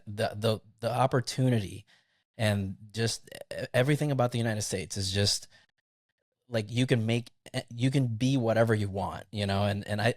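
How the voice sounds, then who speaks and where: A man in his thirties from Puerto Rico, a podcast guest talking in a relaxed, chatty way from his own studio over a remote link. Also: fairly low pitch, no room sound. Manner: relaxed, chatty, laughs often, says "like" a lot. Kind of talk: casual interview chat. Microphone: remote recording over video call.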